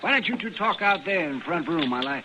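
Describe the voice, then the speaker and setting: An actor playing a brisk, friendly, fussy frontier doctor in a radio western, with an American accent. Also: male voice, on the high side, expressive, highs cut off, natural voice. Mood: brisk, obliging. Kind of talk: old-time radio western acting. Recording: noisy old radio recording.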